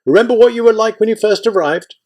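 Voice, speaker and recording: A man's voice: high voice, fast talker, darkish tone, voice pushed up, close to an everyday voice. A man voicing an old Ukrainian village woman, kindly but bitter, speaking with pleading indignation. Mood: indignant.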